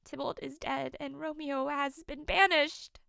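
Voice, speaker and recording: A woman's voice: high voice, steady tone, unhurried, gravelly, sharp tone, no echo, studio quality, full character voice. A woman playing a passionate, desperate young newlywed noblewoman in an audio drama, declaiming theatrically. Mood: grieving. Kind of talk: audio drama acting of modernized Shakespeare.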